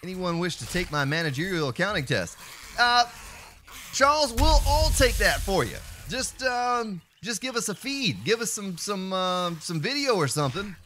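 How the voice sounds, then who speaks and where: A man's voice: high-pitched, measured pace, a little hiss or hum. A man streaming a survival game, chatting playfully with viewers and slipping into joking voices. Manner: playful, animated, drifts into mock voices and song snippets. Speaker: let's play streamer. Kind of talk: live gameplay commentary chatting with viewers.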